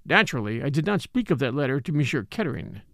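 A man's voice: on the high side, big pitch swings, a little fast, darkish tone, dry recording, studio quality. A man voicing a proper, discreet official in an audiobook, formal, earnest and easily pained. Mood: pained, shocked.

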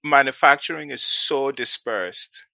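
Man from Ghana, forties, interview guest, bright tone, dry close sound, restarts phrases. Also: medium pitch, a little slow, vocal fry, no room sound. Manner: repeats words often when starting, laughs lightly. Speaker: guest, venture capital investor. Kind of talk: casual interview talk.

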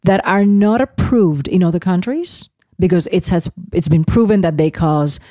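A woman from Puerto Rico co-hosts a casual podcast, her voice low and warm. She argues with passion and talks in rapid bursts. The sound is close and dry. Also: dry recording. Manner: impassioned, speaks in rapid bursts. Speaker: podcast co-host. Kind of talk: casual chat.

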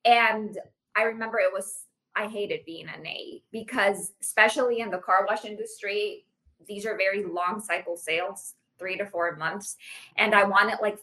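A woman in her late thirties, a sales manager talking casually as a podcast guest, animated and full of "like". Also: medium pitch, poor audio. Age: 30s. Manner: animated, uses "like" often. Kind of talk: casual interview chat.

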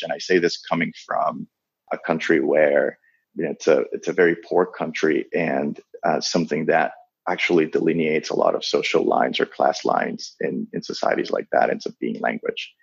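A man from the Dominican Republic, Spanish his first language, talks in a deep, gravelly, husky voice. He pauses and restarts often as he works through ideas in an interview. The recording is dry and somewhat dull. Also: no echo. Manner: halting, many restarts and fillers. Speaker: guest. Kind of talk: thoughtful interview talk.

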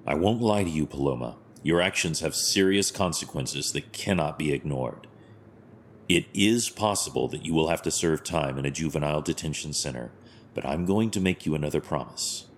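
An actor playing a stern but compassionate masked vigilante hero in an audio drama, speaking in a measured, formal, solemn way. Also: male voice, a bass voice, a little hiss or hum. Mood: gently reassuring.

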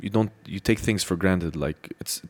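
A man's voice: sits low, normal speed, a little mellow. A man interviewing a guest in a casual, joking, rambling way.

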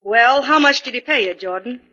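A woman's voice: fairly high pitch, expressive, fairly quick, pushed and bright. A woman playing a jaded fake psychic medium and con artist in 1940s radio style. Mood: fed up. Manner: world-weary, sardonic. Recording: dull old 1940s radio recording.

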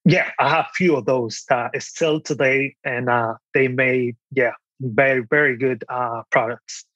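A man's voice: medium pitch, fairly even intonation, a little slow, fairly bright tone, no echo, muffled recording. A young man from the Dominican Republic with a Dominican Spanish accent, telling his story casually as an interview guest. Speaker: podcast guest, online seller.

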